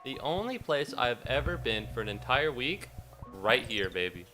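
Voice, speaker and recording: A man's voice: medium pitch, big pitch swings, moderate pace, sharp tone, soft background music. A young American man, a little sniffly after a cold, chatting in a rambling, self-mocking way to his viewers during a casual game livestream. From United States. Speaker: game streamer. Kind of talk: casual livestream chat while gaming.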